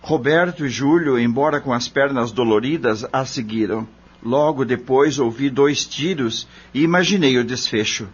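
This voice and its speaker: Casting: man playing a grave Brazilian prisoner-narrator, husky mid-range voice, deliberate storytelling, dull audio. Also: medium pitch, lightly acted. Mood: grave, shaken. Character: troubled imprisoned male witness recounting a crime. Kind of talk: scripted first-person drama narration.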